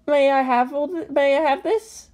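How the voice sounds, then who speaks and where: A woman voicing an eager, polite teenage boy with an earnest, excitable manner. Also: high-pitched, moderate pace, voice pushed up, natural voice. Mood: pleading.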